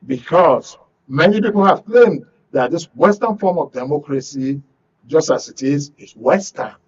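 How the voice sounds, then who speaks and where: A man with a Nigerian accent presenting a current affairs talk show in a deliberate, measured way. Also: on the high side, lively intonation, slightly rough. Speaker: radio and TV talk show host. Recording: dull lo-fi broadcast sound.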